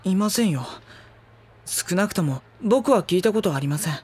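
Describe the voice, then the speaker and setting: An actor playing a reserved high school boy in an audio drama, narrating and talking in a polite, dry, exasperated manner. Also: animated, moderate pace, a little mellow, slightly rough.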